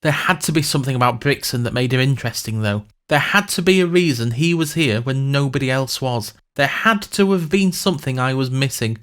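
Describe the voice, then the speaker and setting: A man's voice: mid-range voice, fairly quick, few pauses, a bit bright. Wry man narrating a comic fantasy story. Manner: wry, sardonic, wordy. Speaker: podcast host and narrator.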